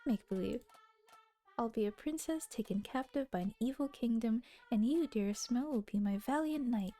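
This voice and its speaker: Sweet pouting kid sister, high and teasing, voiced by a woman, mellow tone. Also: moderate pace, natural voice. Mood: playful. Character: young girl, playful pouting little sister.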